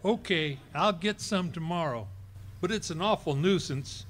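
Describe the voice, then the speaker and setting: A man's voice: on the high side, measured pace. A man playing a boastful, bumbling middle-aged husband in a live staging of a 1940s radio comedy, blustering and stammering. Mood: reminiscing. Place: ballroom stage performance.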